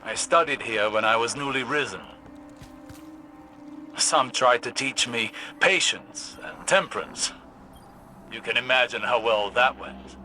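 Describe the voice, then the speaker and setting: Proud, remorseful video game warrior: mid-pitched, deliberate and formal, faint music behind. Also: male voice, sharp tone, low-quality recording. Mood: wry, reminiscing. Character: proud, remorseful ancient warrior haunted by his past. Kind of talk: scripted video game cutscene acting.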